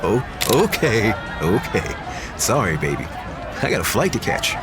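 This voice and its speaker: An actor playing a vain but anxious action movie star in an audio drama, theatrical and self-regarding. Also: male voice, on the low side, sing-song, a little slow, over a noisy crowd. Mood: playful, vain.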